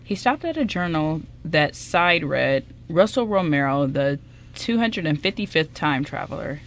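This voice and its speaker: A woman's voice: sits low, sing-song, unhurried. Woman narrating a radio drama script.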